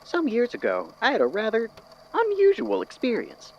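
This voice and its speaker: An actor playing an eccentric, courteous, musing storyteller with a folksy, wordy, theatrical manner in an audio drama. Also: male voice, very high voice, huge pitch swings, normal speed, a little mellow, full character voice. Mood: wistful, storytelling. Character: eccentric, courteous, philosophical storyteller man from Tennessee. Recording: dull lo-fi audio with clear noise.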